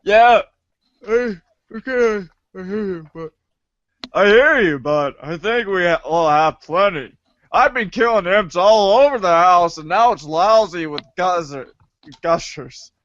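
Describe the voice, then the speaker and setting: A man playing an excitable, exasperated, foul-mouthed boy with theatrical delivery in an amateur comedic readers' theater. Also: high voice, lo-fi sound. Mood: casual, grumbling. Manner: theatrical, prone to drawn-out wails.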